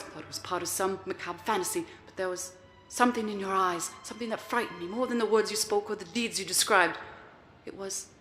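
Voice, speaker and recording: Scornful, frightened prostitute played by a woman, bright, tense voice, reproachful film drama in an echoing hall. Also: mid-range voice, natural voice. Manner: reproachful, emotional. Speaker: actor. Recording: echoey hall reverb, faint music.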